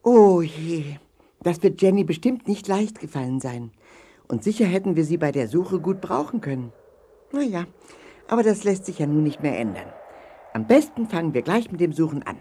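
A woman's voice: lowish voice, big pitch swings, normal speed, warm tone, husky. A woman voicing a friendly, determined leader of adventurers in a German children's audio play, speaking eagerly. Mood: resolute.

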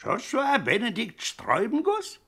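An actor playing an exclamatory old crook in a 1960s radio comedy, creaky, husky and swooping. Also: male voice, very high-pitched, huge pitch swings, measured pace, fairly bright tone, full character voice. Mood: astonished. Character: astonished old male crook, grandfatherly crony.

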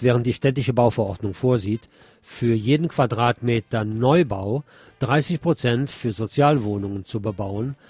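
A man's voice: mid-range voice, darkish tone, breathy edge, dry recording. A man presenting scripted news on the radio, reading as a presenter.

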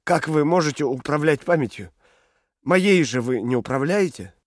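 An actor in an audio drama playing a captive dissident under interrogation, fearful yet stubborn and earnest. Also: male voice, on the high side, lively intonation, normal speed, dry recording, studio quality, close to an everyday voice. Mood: wary, stubborn.

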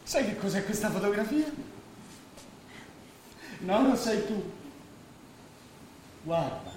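A man's voice: high voice, expressive, takes it slowly, darkish tone. An actor playing a disillusioned war veteran in his late twenties in a stage drama, restless and wistful. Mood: agitated. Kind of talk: Italian stage drama acting. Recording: very echoey stage, distant, faint noise.

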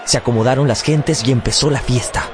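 An actor narrating a radio drama as a man solemnly recounting a boyhood memory in measured, literary phrasing. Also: mid-range voice, fairly even intonation, noisy background, natural voice. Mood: solemn, suspenseful. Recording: loud music bed behind studio voice.

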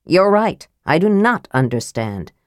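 A woman reading an audiobook, voicing an honorable young male knight who speaks quietly and gravely, in a measured way. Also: a bass voice, dry recording, clean studio sound, voice pushed down. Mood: quiet, reproachful. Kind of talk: audiobook character voice.

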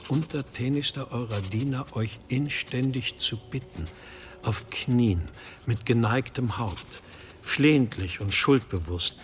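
Solemn, pious abbot over background noise. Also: male voice, mid-range voice. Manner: formal, solemn, archaic phrasing. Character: pious, pleading abbot of a mountain monastery, impatient with his brothers. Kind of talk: radio drama acting.

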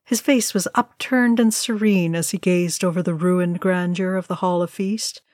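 A woman's voice: lowish voice, fairly even intonation, normal speed, a little mellow, dry recording, clean studio sound. A woman narrating a scripted fantasy story as a storyteller. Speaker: reader of a fan fiction story.

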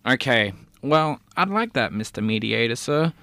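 A man's voice: mid-range voice, very animated, moderate pace, creaky, bright, forceful tone, dry recording. A man in a comedy podcast, playing a flustered character in an improvised sketch and stammering through his lines.

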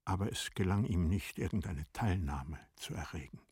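A man narrating a literary radio drama in a deliberate, formal storytelling manner. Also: deep voice, expressive, a little mellow, husky, dry recording, studio quality.

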